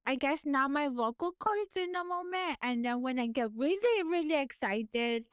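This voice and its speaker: A woman from California with a naturally high-pitched voice and a slight lisp, talking in a casual interview, her words effortful and a little slurred, with frequent laughs. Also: moderate pace, no room sound, clean studio sound. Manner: animated, laughs often, pushes extra hard on words. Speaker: podcast guest.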